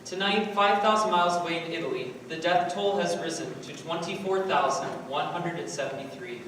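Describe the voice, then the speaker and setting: A man's voice: fairly high pitch, brisk, fairly bright tone, natural voice. A man on stage playing a formal, grave evening news anchor. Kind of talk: news bulletin acted on stage. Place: on stage in a large hall. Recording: echoey hall from far off, faint noise.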